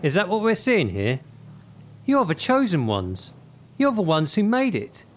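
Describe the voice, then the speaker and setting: A man's voice: sits high, huge pitch swings, unhurried, full character voice. A man acting the part of a hard-driving, menacing gymnastics coach in a radio play, firing out emphatic short commands and rhetorical questions. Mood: fired up. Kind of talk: radio drama acting, motivational tirade.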